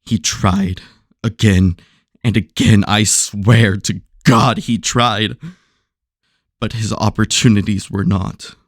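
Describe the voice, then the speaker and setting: A man plays a noble superhero burdened by anguish in an audio drama. His low voice is solemn and halting, with long, slow phrasing. The sound is very dry. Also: steady tone, no echo. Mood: solemn, weary.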